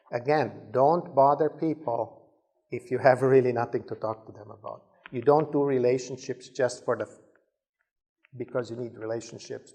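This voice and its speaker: Male speaker, mid-pitched and gravelly, giving an informal leadership talk with frequent restarts. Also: a little mellow. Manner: conversational, stumbles and repeats words, acts out sample dialogue. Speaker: conference speaker.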